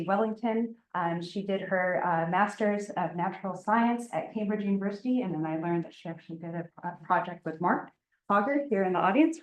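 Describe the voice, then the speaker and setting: A lowish-voiced woman opens a seminar and introduces the speaker. Her halting delivery, full of ums, rings in an echoey room. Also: a little mellow. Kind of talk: formal introduction.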